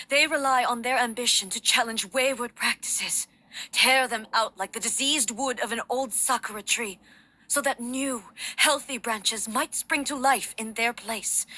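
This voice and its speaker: A woman playing a solemn, resolute female deity-warrior ruler in a game, speaking formally in measured, stately phrases. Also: on the high side, sharp tone, low-quality recording. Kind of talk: scripted dramatic game dialogue.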